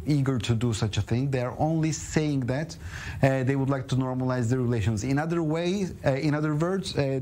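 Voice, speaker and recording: Middle-aged male TV pundit, halting phrasing, medium pitch, faint background noise. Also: warm tone. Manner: hesitant, halting phrasing with non-native English grammar. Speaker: studio guest analyst. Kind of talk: live television news analysis. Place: television news studio.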